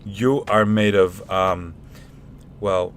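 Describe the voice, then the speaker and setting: A man playing a deadpan, casual, slightly self-important guy who explains haltingly in a comic radio play. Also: low-pitched, a little hiss or hum. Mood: deadpan, lecturing.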